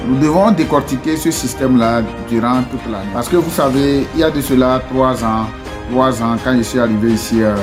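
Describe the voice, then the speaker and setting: A man's voice: mid-range voice, a little fast, over music. Man with Togolese French accent, earnest. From Togo. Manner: hesitant, many "euh" fillers and restarts, rising to earnest rhetorical questions. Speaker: entrepreneur addressing his community. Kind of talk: casual motivational talk.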